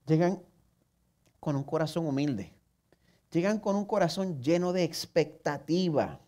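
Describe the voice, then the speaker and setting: A man's voice: on the high side, measured pace, dark tone. A man with a Puerto Rican accent, preaching a sermon in an earnest way and putting questions straight to the listener. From Puerto Rico. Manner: self-mocking humor. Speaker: pastor.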